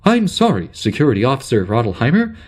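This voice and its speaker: A man playing a flustered security officer on a spaceship, recording an informal audio log in a halting, rambling way for an audio drama. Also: medium pitch, wildly swooping pitch, husky. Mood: exasperated. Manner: halting, rambling, full of hesitations and sighs.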